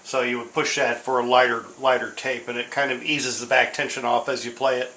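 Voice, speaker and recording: A man with a bright, forward voice explains equipment casually and a bit hesitantly. He sounds informal and unscripted, recorded in an echoey room with faint background noise. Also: medium pitch, level tone, fairly quick. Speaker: tape deck restorer demonstrating a machine. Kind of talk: casual unscripted product demonstration. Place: indoor room at home. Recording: roomy, echoing sound with hiss.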